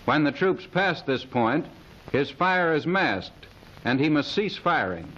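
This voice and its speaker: A man's voice: on the high side, very animated, slow, a bit bright. A man reading scripted military instructional narration in a formal, precise manner, in the style of a 1930s training film. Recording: dull, hissy 1930s film soundtrack.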